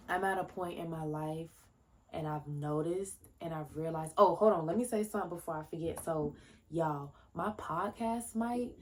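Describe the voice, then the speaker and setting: A woman's voice: low voice, darkish tone, a little hiss or hum. A woman in her early twenties hosting a casual solo podcast from home. She talks loosely and wanders between tangents, with lots of fillers and restarts. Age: 20s. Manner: rambling, jumps between tangents. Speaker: podcast host. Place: at home, indoors. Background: faint fireworks popping.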